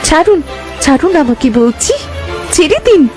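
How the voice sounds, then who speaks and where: A woman playing a gentle, dignified young fairy in a cartoon fairytale, speaking earnestly and formally. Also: high-pitched, expressive, a little slow, over music. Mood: indignant.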